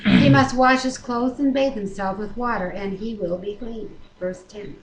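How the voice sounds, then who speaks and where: A woman with a medium-pitched voice co-hosts a home Bible reading in a conversational, informal way. She sounds captured by a webcam microphone in a very echoey room with faint noise. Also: a little slow. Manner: conversational, adds informal asides. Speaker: co-host reading the Bible aloud. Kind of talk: casual chat and scripture reading. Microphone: webcam microphone at a distance.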